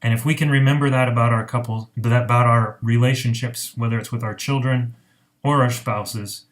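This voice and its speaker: A man speaking as a marriage counselor in an informal explainer, earnest and reflective, with deliberate pauses. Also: mid-range voice, fairly even intonation. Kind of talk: informal explainer talk to camera.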